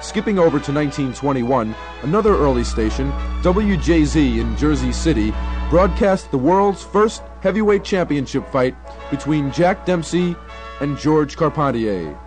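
Higher-pitched American documentary narrator. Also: male voice, expressive, normal speed, over music. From New York, United States. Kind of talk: scripted 1970 radio documentary narration.

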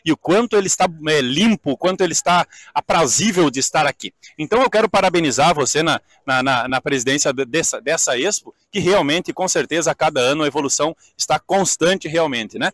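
A man's voice: fairly high pitch, a bit bright, no room sound. A man, interviewing as a live TV reporter at an event. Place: outdoors on a wooden swing in a park.

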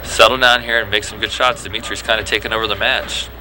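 Man, very low voice, bowling color commentary, chatty and knowing, noisy alley. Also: fast talker. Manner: relaxed, knowing, chatty explanations.

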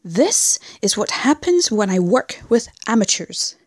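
A woman's voice: mid-range voice, big pitch swings, measured pace, dry recording, clean studio sound. A woman playing a cool, disdainful criminal operative in an audio drama, speaking in a clipped way. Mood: scornful, cool.

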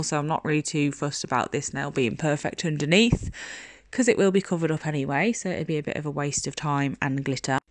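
A woman's voice: low-pitched, no room sound, clean studio sound. A young woman giving a casual, chatty nail tutorial voice-over, sounding slightly congested from hay fever. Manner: chatty, informal. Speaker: nail technician making tutorials. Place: indoors, voice-over recorded in a room at home. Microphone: phone.

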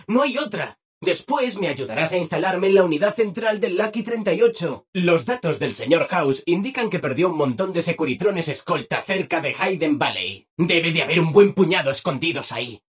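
High, animated, relentlessly cheerful robot. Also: male voice, normal speed, breathy edge, heavily acted. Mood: chipper, explanatory. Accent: Castilian Spanish. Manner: chirpy, over-eager, mock-polite. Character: relentlessly cheerful, eager-to-please male robot assistant. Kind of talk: scripted video game character acting.

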